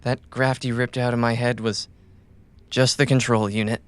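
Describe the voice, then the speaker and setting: An actor playing a wounded, loyal cyberpunk runner in an audio drama, tenderly and haltingly reassuring a companion. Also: male voice, mid-range voice, flat delivery, clean tone, dry recording, lightly acted. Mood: weary, reassuring. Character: wounded, loyal male caravan runner gently soothing his companion.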